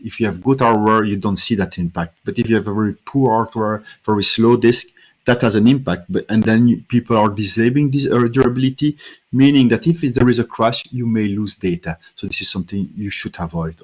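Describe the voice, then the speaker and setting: A man from Belgium, a native French speaker with a French-speaking Belgian accent, giving a technical conference talk with many fillers and restarts. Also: on the low side.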